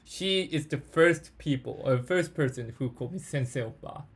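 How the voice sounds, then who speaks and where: A man with a Korean accent teaches an informal online language class in halting, repetitive English as a non-native speaker. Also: medium pitch, gravelly, breathy edge. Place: online group audio call.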